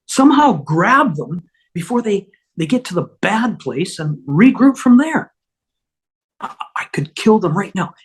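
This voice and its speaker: A woman reading the part of a flustered, apologetic male otherworldly schemer, husky and halting as she stammers through explanations. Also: medium pitch, very animated. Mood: conspiratorial, morbid. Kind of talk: amateur script table read.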